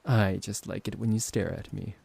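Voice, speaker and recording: A man playing a possessive, seductive vampire professor in an intimate ASMR roleplay, teasing and dominant, with a gravelly, husky voice. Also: on the low side, steady tone, no room sound, heavily acted. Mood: commanding. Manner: slow, hushed, coaxing, condescendingly affectionate.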